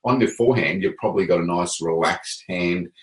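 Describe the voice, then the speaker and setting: Deep-voiced man coaching in a roomy space. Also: brisk. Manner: relaxed, hesitant with many fillers. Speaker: table tennis coach. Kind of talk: casual coaching explanation.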